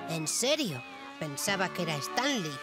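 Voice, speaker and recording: A woman's voice: lowish voice, very animated, a little slow. A woman voicing a timid, well-behaved young boy in a children's cartoon dub, hesitant, anxious and apologetic, over music. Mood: reluctant. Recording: music behind the dialogue.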